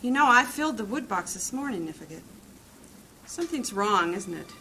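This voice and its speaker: A woman acting a caring, worried wife and mother in a fantasy audio drama, motherly, fussing and expressive. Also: medium pitch, fairly quick, heavy background noise. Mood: worried, pressing. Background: music.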